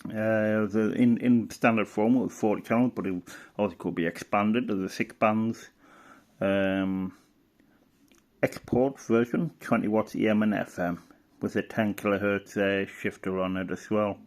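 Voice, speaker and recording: A man's voice: lowish voice, steady tone, unhurried. A middle-aged man giving a casual, rambling show-and-tell as a hobbyist, hesitant and thinking aloud. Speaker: radio hobbyist presenter.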